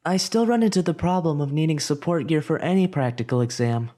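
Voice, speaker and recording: A man's voice: fairly high pitch, fairly quick, warm tone, pitched above the performer's own voice, close to an everyday voice. A man voicing an earnest, nervous teenage boy who speaks politely and hesitantly, in a fan audio drama.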